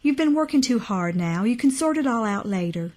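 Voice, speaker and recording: A woman's voice: a little high, lively intonation, brisk, a little mellow. A woman voicing a worried, coaxing young woman in an audiobook, pleading with a friend. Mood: coaxing concern. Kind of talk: audiobook character acting.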